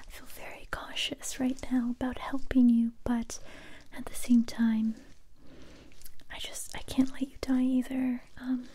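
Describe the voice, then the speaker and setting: Young woman acting as a wary but kind survivor girl, super soft dark whisper, asmr roleplay, slow and hesitant. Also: high-pitched, flat delivery, husky. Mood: cautious, concerned. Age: young adult. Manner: slow, hesitant, intimate close-up delivery. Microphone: close mic, whispering near the listener.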